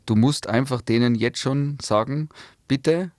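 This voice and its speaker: A man's voice: medium pitch, unhurried, a little hiss or hum. Easygoing male interviewer. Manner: easygoing, joking questions. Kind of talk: casual interview.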